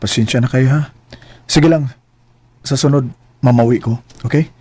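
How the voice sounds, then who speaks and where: A man's voice: mid-range voice, normal speed, dark tone, husky, no room sound, close to an everyday voice. A man playing a young, earnest son and boyfriend in an amateur radio drama, often apologizing. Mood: apologetic.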